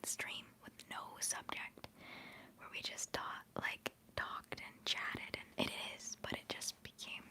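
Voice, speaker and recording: A young woman hosting a livestream, whispering softly as she rambles through chat messages and answers viewers fondly, holding back tears. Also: slow. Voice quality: soft whispering voice. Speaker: livestream host.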